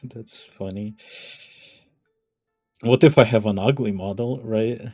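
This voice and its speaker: A man chatting casually to viewers as a live streamer, halting and thinking aloud as he goes. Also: lowish voice, mellow, soft background music. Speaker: live streamer reading chat.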